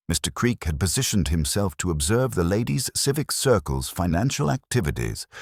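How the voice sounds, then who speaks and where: Very deep-voiced man narrating, lively intonation. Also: no echo. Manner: measured, dry, formal storytelling. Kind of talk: scripted audiobook mystery narration.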